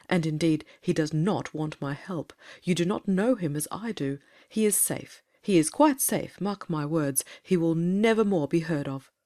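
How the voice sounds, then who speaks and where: A woman playing a troubled, low-voiced Victorian doctor. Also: mellow, lightly acted. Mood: feverish, insistent. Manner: fervent, formal, anguished. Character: troubled, earnest middle-aged male Victorian doctor. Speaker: audiobook cast reader. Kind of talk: dramatic reading of Victorian fiction.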